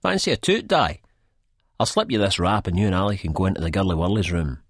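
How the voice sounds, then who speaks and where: Man acting a smug, pompous husky-voiced schemer. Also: sits low, big pitch swings, fast, no echo, studio quality, heavily acted. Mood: slick, teasing. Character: smug, argumentative male schemer, pretentious and flirtatious.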